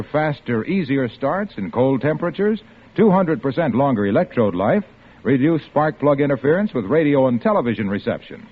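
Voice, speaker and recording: A man's voice: medium pitch, husky. Boastful salesman, tinny radio sound. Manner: grandiose, alliterative patter. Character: boastful, cheerful spark plug salesman. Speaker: commercial announcer. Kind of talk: 1940s radio commercial pitch. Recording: tinny, murky old radio recording.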